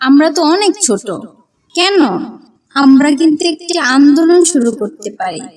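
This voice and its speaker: An eager little cartoon schoolgirl, voiced by a woman, pitched high. She is an earnest, emphatic young campaigner. The voice sits slightly away from the mic. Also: lively intonation, moderate pace, darkish tone, recorded from a distance. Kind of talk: cartoon dialogue.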